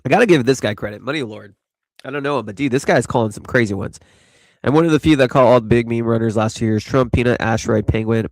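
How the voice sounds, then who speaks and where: A man's voice: mid-range voice, quick pace, dry recording. A man hosting a casual live crypto chat, rambling and laid-back with lots of fillers, stuffy from allergies. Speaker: host.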